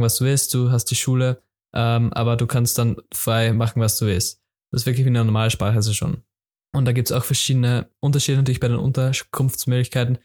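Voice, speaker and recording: A young man talks through his podcast episode on his own. His delivery is flat and steady, with hardly any rise or fall in pitch. He rambles casually, repeating himself and filling gaps with hesitations. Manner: informal, loose and repetitive, many fillers and restarts. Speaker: podcast host. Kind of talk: casual solo podcast talk.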